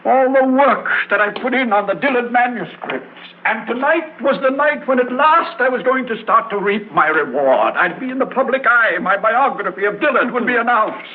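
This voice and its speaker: A man's voice: very high voice, lively intonation, moderate pace, few pauses. An actor playing a pompous, domineering male biographer in an old radio drama. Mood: indignant. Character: pompous male biographer, self-important, grasping. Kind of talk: 1960s radio drama acting. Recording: muffled phone-like old radio broadcast, faint hiss.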